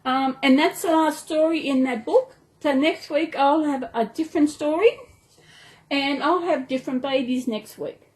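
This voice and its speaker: A woman's voice: high voice, normal speed, darkish tone, rough recording. A woman with an Australian accent chatting casually and affectionately in a rambling home vlog, talking to viewers and to her dolls. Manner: rambling, affectionate, talks to dolls and toys as if alive. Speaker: doll collector hosting a storytime video channel. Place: indoors at home.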